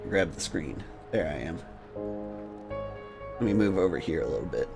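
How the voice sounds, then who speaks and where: A man hosting a game livestream, switching between jokey, rambling chat and reading game text aloud in a gravelly voice. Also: low-pitched, animated, normal speed. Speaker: game streamer and host. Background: music.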